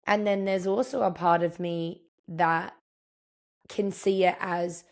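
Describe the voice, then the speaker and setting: A young woman with a croaky, partly lost voice, chatting reflectively as a podcast host and voicing her own thoughts as she tells a story. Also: on the low side, steady tone, slow pace, a little mellow. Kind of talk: casual storytelling monologue.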